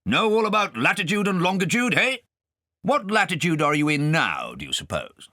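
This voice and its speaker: A male narrator voicing a stout, pompous, blustering gentleman guardian who fires off questions in an audiobook. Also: high voice, huge pitch swings, moderate pace, no echo, studio quality, voice pushed up, heavily acted. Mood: pompous, quizzing. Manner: pompous, quizzing with "eh?".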